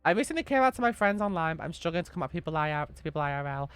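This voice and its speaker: A young woman, a little hoarse from being sick, gently answering chat questions on a live stream and repeating her reassuring advice. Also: sits low, lively intonation, racing, dry recording.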